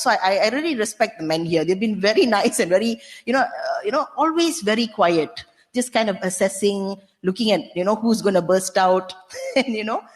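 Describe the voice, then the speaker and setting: A woman's voice: medium pitch, big-room sound. A middle-aged woman from Malaysia speaking candidly and emphatically on a conference panel, with frequent restarts and "you know". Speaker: panelist, senior legal counsel. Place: conference hall.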